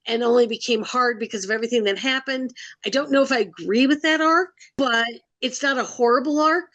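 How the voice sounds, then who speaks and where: A woman's voice: a little high, no echo. Woman co-host weighing her opinions. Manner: gives measured opinions, weighing her views. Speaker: podcast co-host. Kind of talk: casual podcast discussion.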